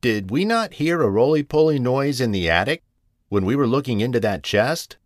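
A bossy lady cat neighbour from a children's storybook, put on by a man, with a raised pitch. She is brisk, critical and no-nonsense, swinging widely in tune. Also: expressive, no echo, studio quality, lightly acted. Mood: urgent.

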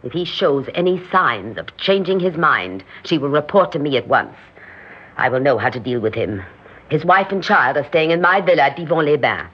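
An actress in an old-time radio drama playing an imperious, grandiose, scheming society hostess with a commanding, theatrical delivery. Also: female voice, low voice, animated, normal speed, husky. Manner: theatrical, commanding, self-assured. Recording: dull, muffled old radio recording.

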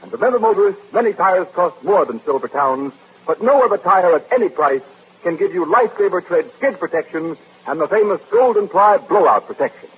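A high-pitched male radio announcer with very lively intonation reads a vintage advertisement. He is formal and emphatic, and the old recording is tinny and narrow. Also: fairly quick, slight background noise. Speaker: commercial announcer.

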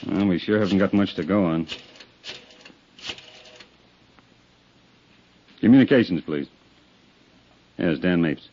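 Police detective: lowish pitch, hard-nosed and badgering, old radio-drama style, muffled lo-fi sound. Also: male voice, moderate pace, mellow. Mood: weary, businesslike. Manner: gruff, badgering, sardonic. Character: tough, impatient male police detective questioning witnesses. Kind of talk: 1950s radio drama acting. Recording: dull, lo-fi 1950s radio recording.

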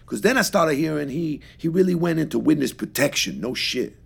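An actor playing an excitable, sympathetic streetwise friend in naturalistic audio drama, with slangy, reactive delivery. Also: male voice, fairly high pitch, brisk, gravelly, husky, heavily acted. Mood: gossipy, casual. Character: excitable, sympathetic male street-wise old friend, Luis.

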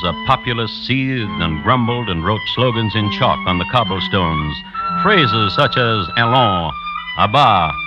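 A man hosting and narrating 1950s radio true crime in a wry, deliberate storytelling manner. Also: lowish voice. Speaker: host and narrator. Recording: muffled vintage radio recording. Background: music.

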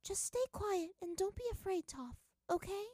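A woman voicing a protective mother who speaks soft, reassuring words to her daughter. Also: extremely high pitch, expressive, moderate pace, no echo, clean studio sound, pitched above the performer's own voice. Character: gentle, protective mother of a young girl.

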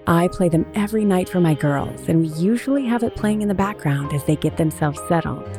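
A middle-aged woman speaks in a fairly low register over clearly audible guitar music. Her delivery is gentle and calming, a scripted narration made to guide children to sleep. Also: brisk. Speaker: podcast host and meditation guide.

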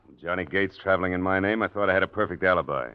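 Man acting beaten old radio crime villain, really low voice, bitter, tinny phone-like sound. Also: racing, clear, resonant tone, no echo, lightly acted. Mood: resigned, bitter. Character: defeated, resigned male murderer under arrest. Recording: tinny, narrow vintage radio recording.

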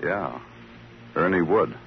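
An actor playing a wry, probing American police lieutenant in a hardboiled old radio drama. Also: male voice, sits low, lively intonation, takes it slowly, creaky, exaggerated voice. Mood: grim. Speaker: lead actor and narrator. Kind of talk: hardboiled 1950s radio drama narration and acting. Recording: muffled old radio recording with music.

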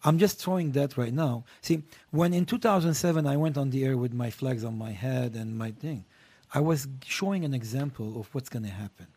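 Man, husky voice, blunt and profane, guest in a chat. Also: mid-range voice, a little fast, few pauses, mellow. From Lebanon. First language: Armenian. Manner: blunt and provocative, swears freely. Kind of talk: casual panel chat.

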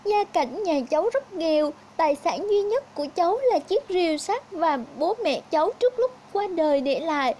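A woman's voice: extremely high pitch, lively intonation, moderate pace, hardly any pauses, dark tone, a little hiss or hum, pitched above the performer's own voice. A woman voicing a poor, honest young woodcutter in a fairy tale, speaking politely and earnestly. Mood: sad, plaintive.

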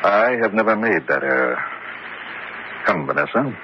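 An actor playing a knowing, coolly resigned husband in a radio drama, measured and sardonic. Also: male voice, sits low, big pitch swings. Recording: muffled, faintly noisy radio recording.

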